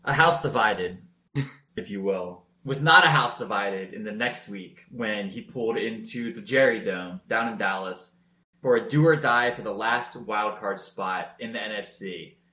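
A man telling football highlights in a casual podcast chat, energetic and loud-voiced. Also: a little slow, reverberant. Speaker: podcast co-host. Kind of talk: casual sports recap.

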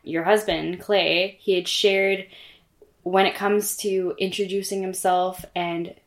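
A woman's voice: medium pitch, little pitch movement, slow pace. A woman hosting an interview, introducing her guest and asking questions in a friendly, encouraging way. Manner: friendly, encouraging, with many backchannel affirmations. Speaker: interview host.

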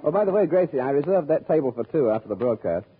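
A man's voice: on the high side, big pitch swings, fast, slight background noise. A man playing an eager, friendly bandleader sidekick in old-time radio comedy. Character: eager gift-bearing male bandleader sidekick. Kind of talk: 1930s-40s radio comedy acting. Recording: tinny old radio broadcast.

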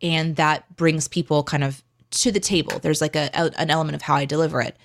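A woman co-hosts a casual podcast and stream. Her voice sits a little low for a woman. She talks in a confident, chatty way, often saying "like". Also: fairly even intonation, brisk. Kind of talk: casual chat.

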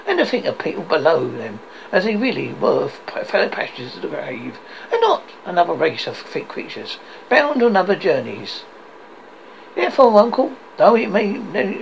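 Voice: medium pitch, vocal fry, voice pushed up. A reader voicing a cheerful, good-hearted young nephew with an earnest, pleading manner, as in a storybook. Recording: dull audio with faint noise.

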